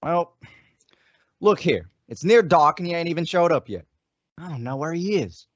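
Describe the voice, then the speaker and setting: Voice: mid-range voice, lively intonation, normal speed, no echo, muffled recording, natural voice. A performer in an old-time western radio play, voicing a worried, folksy cowboy sidekick with a rustic drawl.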